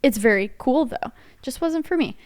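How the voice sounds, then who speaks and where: Texas gal hosting a podcast, slight drawl, chatty, laughs a lot, kinda high voice. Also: female voice, lively intonation, moderate pace, clean tone. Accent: slight Texan. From Dallas, Texas. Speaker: podcast host. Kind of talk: casual chat.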